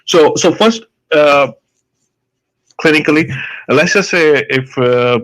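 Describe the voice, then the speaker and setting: A man's voice: on the high side, slow pace, a bit bright, rough recording. A man with an Indian accent, a doctor in a live debate, explaining his points hesitantly with many fillers and restarts.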